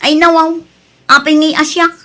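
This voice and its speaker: Actress for suspicious, gossipy village aunt in radio drama: high, piercing female voice, Ilocano Filipino accent. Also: flat delivery, normal speed, sharp tone. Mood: scolding.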